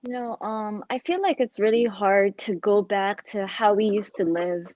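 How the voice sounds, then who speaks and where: A woman's voice: medium pitch, moderate pace, a little mellow, no echo. A young woman from Ethiopia speaking as a panelist in an online discussion, chatty and full of "like" and "you know". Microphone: video call. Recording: dull, dry video call audio.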